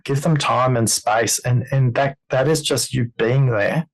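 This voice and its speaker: A man hosting a podcast about listening, speaking in a deliberate, coaching way and drawing out insights for his audience. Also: mid-range voice, poor audio. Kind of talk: podcast conversation.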